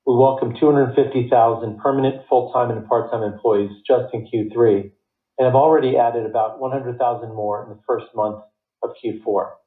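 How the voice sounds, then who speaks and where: A man reading a scripted earnings report as a company finance executive, in a formal, measured way. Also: mid-range voice, fairly even intonation. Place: conference call line. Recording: tinny phone line, roomy echo.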